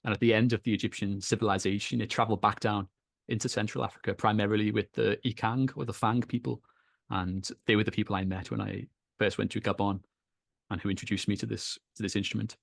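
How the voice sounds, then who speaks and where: A man with a Liverpool accent, a podcast guest telling his story in an easy, measured way, with small repeats. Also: lowish voice, little pitch movement, vocal fry, dry recording, clean studio sound. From England. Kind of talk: relaxed storytelling in an interview. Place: home in Kenya.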